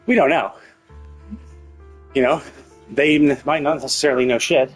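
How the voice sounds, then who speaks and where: A man's voice: mid-range voice, steady tone, normal speed, breathy edge. A man giving casual, speculative live game commentary as a streamer, often checking himself with "right?". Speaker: game livestreamer. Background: game music.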